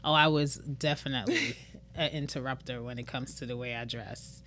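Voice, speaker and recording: A woman in her thirties from Brooklyn, New York, a podcast guest telling stories in animated casual chat and laughing often. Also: a bass voice, moderate pace, a little hiss or hum.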